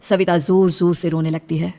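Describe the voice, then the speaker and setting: A woman's voice: lowish voice, little pitch movement, moderate pace, mellow. A woman narrator reading a scripted story in a dramatic storytelling style.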